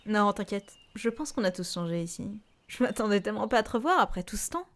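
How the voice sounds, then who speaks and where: A woman's voice: medium pitch, animated, fast, close to an everyday voice. Young woman, sardonic and blunt, mid-range voice, played by an actress for an audio drama. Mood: surprised, friendly. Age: young adult. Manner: sarcastic, casual, swearing. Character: bitter, sarcastic, foul-mouthed young woman, grieving daughter.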